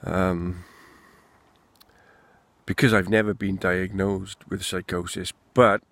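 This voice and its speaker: Slow-talking welsh guy, gravelly voice, frank unscripted monologue. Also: deep voice, little pitch movement, a bit bright, dry recording. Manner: rambling, candid, swearing freely. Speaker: vlogger. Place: outdoors in the wind.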